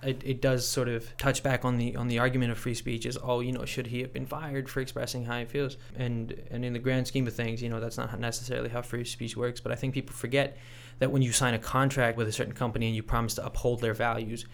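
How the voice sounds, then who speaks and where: A man giving reflective interview commentary as a podcast guest, in a measured, thoughtful and halting way. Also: medium pitch, flat delivery, gravelly. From Canada. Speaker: podcast guest, sports reporter.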